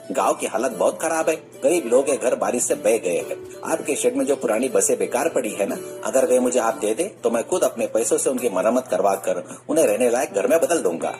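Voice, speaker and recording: A man's voice: mid-range voice, darkish tone, lightly acted. A man playing an earnest, kind young educated social worker in a cartoon story. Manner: earnest, pleading. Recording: music bed under the voice.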